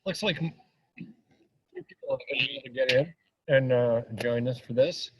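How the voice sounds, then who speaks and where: A man's voice: medium pitch, expressive, a little slow, noisy background. A man opening an online meetup, welcoming people in a friendly, rambling way with fillers, over a video call. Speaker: meetup organizer.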